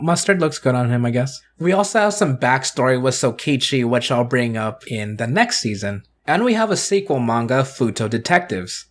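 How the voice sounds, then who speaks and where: Man narrating a casual scripted recap. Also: medium pitch. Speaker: video host and reviewer.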